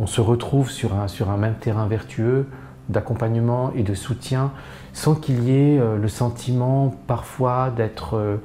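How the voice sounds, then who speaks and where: A man's voice: mid-range voice, mellow. A man who mentors artists, speaking reflectively in an interview with frequent hesitations.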